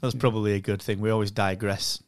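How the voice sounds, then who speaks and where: A man's voice: lowish voice, steady tone, fast talker, dry recording. A man co-hosting a podcast in a casual, chummy, jokey way. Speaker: podcast co-host. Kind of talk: casual chat.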